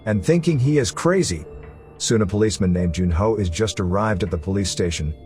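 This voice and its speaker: A man with a fairly low voice delivers scripted recap narration. He tells a story as a narrator, and music plays clearly underneath his voice throughout. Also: expressive, fairly quick, darkish tone. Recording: music running behind the voice. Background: background music.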